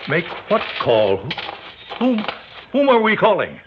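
An actor playing a bewildered captive senator, stammering and formal, in a radio drama. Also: male voice, high-pitched, wildly swooping pitch, slow pace, heavily acted. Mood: flustered, pleading. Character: flustered, bewildered captive male United States senator. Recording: dull lo-fi radio recording, loud noise.

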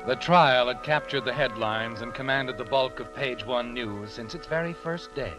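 A man's voice: medium pitch, moderate pace, lightly acted, rough recording. An actor playing a sinister, all-knowing male mystery narrator, ominous and taunting in old radio style. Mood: grave narration. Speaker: story narrator. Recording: old radio recording with music behind.

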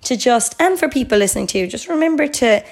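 Hoarse girl chatting on a podcast, upbeat, says like a lot. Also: fairly high pitch, animated, fast. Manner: chatty, upbeat, lots of "like" and "absolutely". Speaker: podcast co-host. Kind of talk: casual chat.

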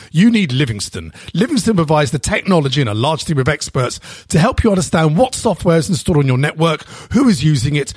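A man reading scripted advert narration as a voice-over, with a husky voice. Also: a little high, no echo, studio quality.

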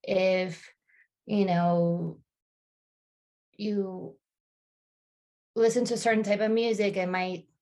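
A woman's voice: medium pitch, fairly even intonation, slow pace, long pauses, a little mellow. A woman in her thirties, a podcast guest, speaking thoughtfully in casual conversation with frequent restarts and fillers.

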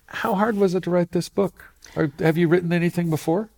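A man's voice: sits high, steady tone, fairly quick, gravelly, darkish tone. A man hosting an interview, asking curious follow-up questions in short prompts. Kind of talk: casual interview.

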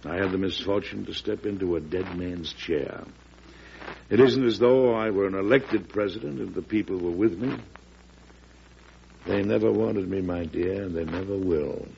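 A beleaguered American president in a vintage radio drama. The voice is low and creaky, humble yet stubborn. The old recording is dull, with steady noise underneath. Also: male voice, moderate pace. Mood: dejected.

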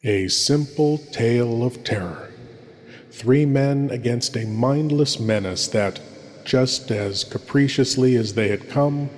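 A man's voice: medium pitch, slow pace, a little mellow, lightly acted. A man playing a sinister, ghoulish horror show host, theatrical, ominous and pompous. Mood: grave, ominous. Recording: long hall reverb, faint music.

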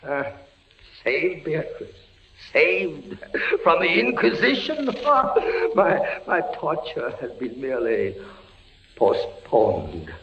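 An actor playing a tormented, frightened captive soldier in a 1940s radio suspense drama, halting and stammering, repeating his words. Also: fairly high pitch, very animated, heavily acted. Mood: bitter. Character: tormented, frightened male soldier imprisoned by the Inquisition. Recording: muffled, noisy 1940s radio recording.

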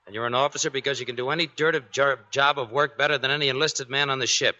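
An actor playing a proud, defiant young sailor in 1940s radio drama style. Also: male voice, mid-range voice, steady tone, quick pace, sharp tone. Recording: dull old 1940s radio recording.

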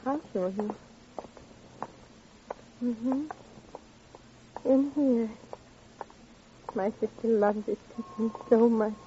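Mellow, dazed grieving woman. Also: fairly high pitch, extremely slow, long pauses. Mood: dazed, polite. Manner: dreamy, detached, disjointed. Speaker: actress in a radio crime drama. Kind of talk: old-time radio acting. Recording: muffled, noisy old radio recording.

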